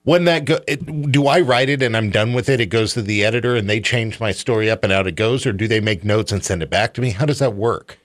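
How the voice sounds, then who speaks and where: Low-voiced man hosting radio banter. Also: quick pace, few pauses, no echo. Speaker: radio show host. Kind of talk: casual chat.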